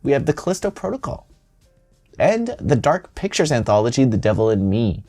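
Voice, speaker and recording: A man presents gaming news in a mid-pitched, very animated voice. He moves between scripted headlines and loose, self-deprecating jokes. Music plays underneath his voice. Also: brisk. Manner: playful, self-deprecating asides. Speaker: host of a gaming news show. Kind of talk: scripted news read with casual, joking asides.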